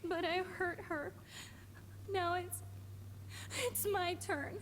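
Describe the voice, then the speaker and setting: A woman's voice: extremely high pitch, steady tone, slow, a little hiss or hum. An actress playing a terrified, helpless eighteen-year-old girl in a video game, pleading and tearful. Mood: guilty, distraught. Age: young adult. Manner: pleading, self-blaming. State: tearful, crying.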